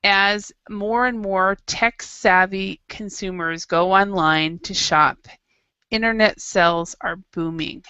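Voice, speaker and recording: A woman's voice: medium pitch, fairly even intonation, slow pace, pushed and bright, clean tone, no echo, lo-fi sound. A woman teaching an English class, running a quiz with students and reading an article aloud in a chatty, encouraging way. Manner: chatty, encouraging, laughs easily.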